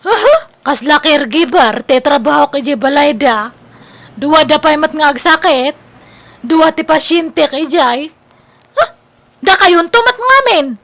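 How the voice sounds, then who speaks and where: An actress playing a discontented, whiny young wife and daughter in an Ilocano radio comedy drama, speaking in a plaintive, dramatic way. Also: female voice, high voice, animated, a little slow, a bit bright, exaggerated voice. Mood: annoyed, complaining. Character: discontented wife, whiny daughter leaving her sick husband.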